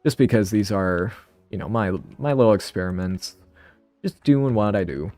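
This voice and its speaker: Lively low-voiced man streaming, sniffly. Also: normal speed, no room sound, soft background music. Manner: rambling, self-interrupting, thinking aloud. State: sniffly from a cold. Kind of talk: casual live-stream tutorial chat.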